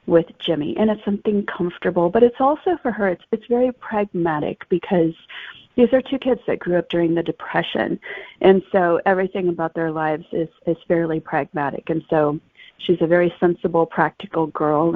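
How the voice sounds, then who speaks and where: A woman's voice: on the low side, dry recording. A woman author being interviewed, explaining things conversationally over a thin phone-like connection. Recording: thin phone-like, dry sound.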